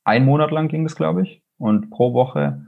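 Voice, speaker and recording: A man's voice: medium pitch, big pitch swings, normal speed, gravelly, phone-quality sound. A man with a Swabian accent, a fantasy author speaking casually and enthusiastically in an interview, rambling with fillers and restarts. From Swabia, Germany.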